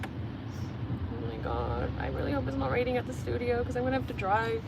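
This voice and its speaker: A woman's voice: on the high side, big pitch swings, moderate pace. A young woman from England chatting anxiously while driving on a rainy freeway, full of fillers. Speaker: vlogger. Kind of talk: casual vlog while driving. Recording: loud road and rain noise. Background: road and rain noise.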